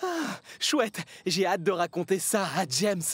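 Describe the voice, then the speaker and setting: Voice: mid-range voice, very animated, a little slow. An actor voicing a naive, earnest, hesitant little male train engine in a children's cartoon. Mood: eager. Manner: hesitant, wide-eyed. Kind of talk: children's cartoon dub acting.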